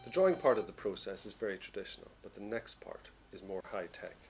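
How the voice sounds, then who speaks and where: A man in his forties giving a measured, explanatory scripted narration as an illustrator presenting his creative process. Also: low-pitched, vocal fry. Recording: music behind the voice. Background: prominent plucked guitar music.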